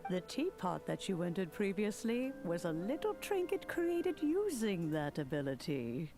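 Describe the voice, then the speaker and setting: Slow, low-voiced granny immortal. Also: female voice, very animated, music in the background. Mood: calm, nostalgic. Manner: stately, unhurried, old-fashioned diction. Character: gentle, elderly female immortal adept, fond and wistful granny. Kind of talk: video game voice acting.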